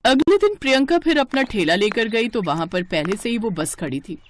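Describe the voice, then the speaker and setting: A woman's voice: fairly high pitch, animated, moderate pace, a little hiss or hum. A woman narrating a scripted moral story as a storyteller.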